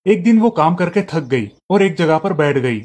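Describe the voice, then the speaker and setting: A man's voice: a little high, moderate pace, music in the background. Man narrating a cartoon story. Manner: steady storytelling.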